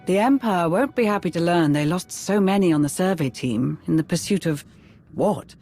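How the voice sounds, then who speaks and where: Higher-voiced, earnest Imperial aide. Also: male voice, animated, normal speed, a little mellow. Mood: uneasy, businesslike. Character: earnest, uneasy male Imperial aide and advisor. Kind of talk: video game cutscene acting.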